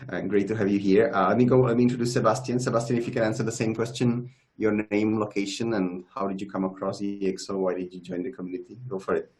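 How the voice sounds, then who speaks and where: Halting male host, low voice. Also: flat delivery, a little mellow. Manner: friendly, halting, restarts. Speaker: host, community manager. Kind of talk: hosting a video call panel. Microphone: video call.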